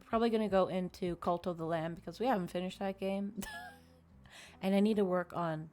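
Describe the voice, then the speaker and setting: A woman's voice: on the low side, steady tone, a little fast, a little mellow. A woman live streamer chatting casually and informally to her viewers in a friendly way. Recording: music playing behind the voice. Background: background music.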